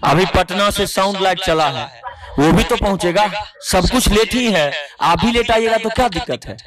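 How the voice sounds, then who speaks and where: A man's voice: sits high, moderate pace. A man negotiating heatedly on a phone call, insistent and repeating himself, as an event organiser. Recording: phone call recording with crowd noise.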